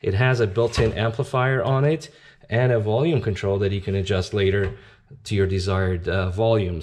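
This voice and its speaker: A man's voice: lowish voice, a little mellow. A man giving an informal step-by-step product demonstration, explaining an installation plainly as a company representative. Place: indoors at a tabletop demonstration bench.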